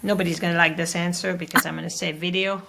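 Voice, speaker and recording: A woman's voice: fairly low pitch, flat delivery, fast talker, vocal fry, noisy background. A woman in her late thirties with a French accent, speaking as a guest acupuncturist and educator in a casual interview, earnest and emphatic, often closing points with a tag question. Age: late 30s.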